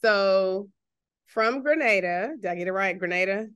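A woman's voice: mid-range voice, moderate pace, a bit bright, smooth. A woman with a Texan accent hosting a podcast, chatty, restarting phrases and laughing often. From Texas, USA. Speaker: podcast host, therapist. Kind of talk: casual podcast interview.

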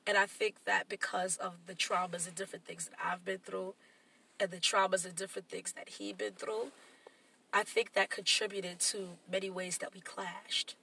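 A woman's voice: mid-range voice, fairly even intonation, moderate pace, vocal fry, bright, forceful tone, recorded from a distance, a little hiss or hum, poor audio. A woman co-hosting a casual talk show, sounding congested and nasal, who explains her points at length and reflects on her own experience. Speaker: podcast co-host. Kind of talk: casual chat.

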